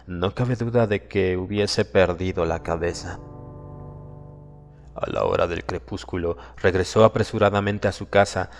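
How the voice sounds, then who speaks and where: A man narrating a horror audiobook in a dramatic, solemn and suspenseful manner, with deliberate phrasing. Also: low voice. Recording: long reverb, music behind. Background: music.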